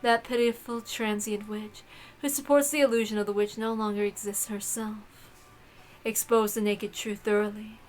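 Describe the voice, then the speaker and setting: A woman's voice: medium pitch, little pitch movement, moderate pace, natural voice. A woman voicing a haughty, cruel witch mistress who gives imperious, scornful orders in a visual novel read-aloud. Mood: cold, commanding.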